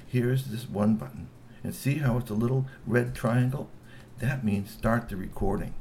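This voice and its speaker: A man doing a soft-spoken, patient helper. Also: mid-range voice, a little hiss or hum, natural voice. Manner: soft, slow, patient explaining. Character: gentle, patient male helper, kindly.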